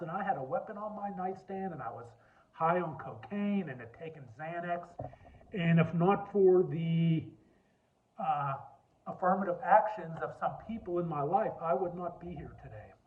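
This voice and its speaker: A man with a deep voice giving an earnest personal talk, telling his story and repeating points for emphasis. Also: measured pace. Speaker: speaker on lawyer wellbeing. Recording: tinny phone-like line.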